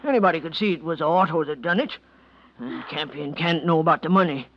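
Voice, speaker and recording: An actor playing a nervous, curt, money-grubbing husband with rough, halting speech in a 1940s radio drama. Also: male voice, fairly high pitch, close to an everyday voice. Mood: irritated. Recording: dull noisy vintage radio recording.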